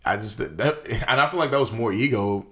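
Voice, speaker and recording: A young man co-hosting a podcast, talking loosely about movies in slangy, animated casual chat with lots of fillers. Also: on the low side, brisk. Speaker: podcast co-host.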